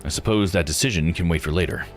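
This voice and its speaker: Calculating visual novel investigator, read by a man: low-pitched, formal, deliberate, with music behind. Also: natural voice.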